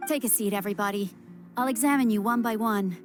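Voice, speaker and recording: A woman's voice: mid-range voice, fast talker, close to an everyday voice. A woman playing a kindly, reassuring female doctor in a video game. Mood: attentive. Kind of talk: animated video game voice acting. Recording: guitar music clearly behind voice.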